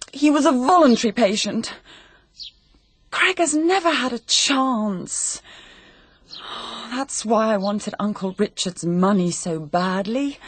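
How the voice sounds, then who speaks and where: A high, breathy, devoted young wife. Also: female voice, no room sound, heavily acted. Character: earnest, devoted, defensive young British wife. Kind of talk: British radio mystery drama acting.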